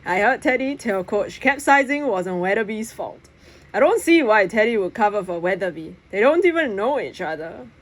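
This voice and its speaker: A woman's voice: medium pitch, lively intonation, moderate pace, natural voice. A woman voicing a playful, teasing schoolboy best friend in a storybook, with slangy, exclamatory talk.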